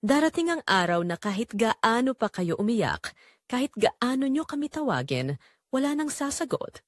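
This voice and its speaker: A woman's voice: fairly high pitch, big pitch swings, moderate pace, no room sound, studio quality. A woman playing a dying, long-suffering mother, narrating her own audio drama in a solemn, pleading, tender way. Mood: pleading, sorrowful. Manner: solemn, sorrowful, pleading storytelling.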